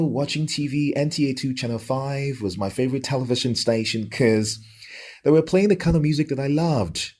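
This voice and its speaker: A middle-aged Nigerian man with a Nigerian accent, telling his own story reflectively in an interview with a radio presenter's deliberate, emphatic delivery. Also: mid-range voice, darkish tone, breathy edge. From Lagos, Nigeria.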